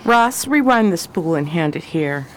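Woman acting a low-voiced sly 1920s adventuress. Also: expressive, a little slow. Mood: mocking. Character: cool, sly 1920s adventuress who loves a gamble. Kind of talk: 1920s crime radio play acting. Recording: car engine noise behind.

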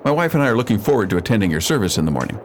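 Actor as a quick-talking, worldly churchgoing man. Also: on the low side, sing-song. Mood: easygoing. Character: sociable, worldly married churchgoer, friendly and smooth-talking. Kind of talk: radio drama acting. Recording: noisy background.

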